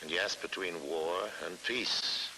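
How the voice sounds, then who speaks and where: Man, extremely low voice, gravelly, measured documentary narration, dramatic pauses, hissy vintage soundtrack. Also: sharp tone, poor audio.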